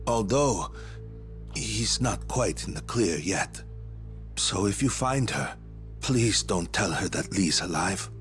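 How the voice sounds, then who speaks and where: A man's voice: lowish voice, lively intonation, slow, fairly bright tone, soft background music. An actor playing a polite, earnest male doctor delivering a grave message in a game dub. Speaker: doctor messenger.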